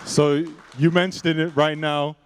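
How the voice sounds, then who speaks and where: A young man hosting a casual late-night student talk show, asking questions in relaxed, slangy phrasing on a handheld stage microphone. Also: on the high side, unhurried, a little hiss or hum. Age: young adult. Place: indoor event stage.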